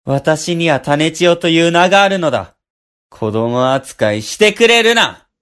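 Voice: medium pitch, expressive, unhurried, fairly bright tone, clean tone. An actor playing a proud, loyal young boy sorcerer in a period audio drama, cornered and muttering defiantly. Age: child figure.

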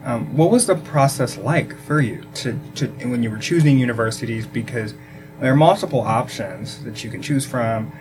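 A man's voice: medium pitch, normal speed, music in the background, rough recording. A man hosting an interview, asking follow-up questions and encouraging his guest with engaged remarks. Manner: engaged, frequent backchannel yeahs. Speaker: podcast host.

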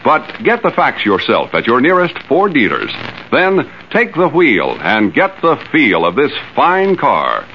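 A man's voice: mid-range voice, wildly swooping pitch, unhurried. An American man announcing in 1950s radio style, polished, emphatic and declamatory. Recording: muffled old radio recording, faint noise.

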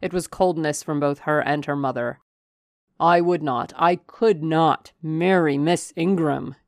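A woman voicing a passionate, possessive gentleman lover in an audiobook, speaking in an urgent, imperious, declamatory way. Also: low-pitched, a little slow, dry recording, clean studio sound. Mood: passionate, insistent. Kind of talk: dramatic audiobook character reading.

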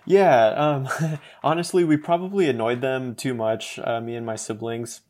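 A man's voice: medium pitch, normal speed, darkish tone. Man, twenties, from Los Angeles, casual guest, many fillers, laughs easily. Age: 20s. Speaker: podcast guest. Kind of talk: casual conversation.

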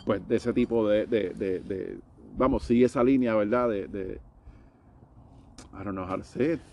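A man's voice: fairly low pitch, normal speed, a little mellow, a little hiss or hum. A man with a Puerto Rican accent talking in a casual debate, emphatic, mixing English words and swearing into his Spanish.

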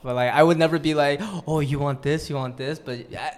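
A man's voice: a little high, fairly even intonation, a little fast. A young man from New York hosting a podcast, chatting casually and joking with friends, swearing now and then. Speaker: podcast host. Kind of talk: casual chat.

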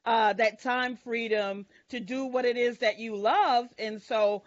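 A woman's voice: a little high, steady tone, a little slow, dry recording. A woman panelist speaking earnestly on a video call, with lots of "you know" fillers. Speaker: panelist entrepreneur. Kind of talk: casual advice on a panel. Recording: dull, dry video call audio.

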